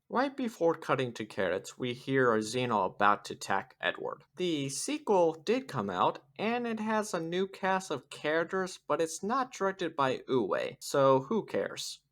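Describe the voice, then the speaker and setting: Very animated, sarcastic video review host. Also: medium pitch. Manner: sarcastic, mocking. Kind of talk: scripted video review narration.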